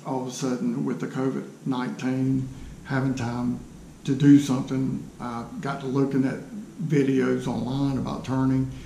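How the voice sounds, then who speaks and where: A man in his sixties speaks with a creaky, mellow voice. He talks casually and haltingly, playing himself down as he goes. The sound is roomy, picked up by a camera in a small workshop. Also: mid-range voice, flat delivery. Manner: halting, hesitant, self-deprecating. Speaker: woodturner introducing his channel. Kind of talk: casual unscripted vlog talk to camera. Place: small cluttered home workshop, indoors. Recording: roomy workshop echo, faint background noise.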